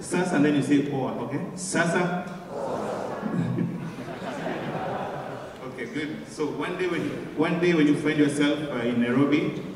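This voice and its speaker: A man in his twenties with a Kenyan accent, giving a personal testimony speech full of hesitant filler pauses. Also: on the high side. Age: 20s. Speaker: guest speaker. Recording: echoey hall from far off.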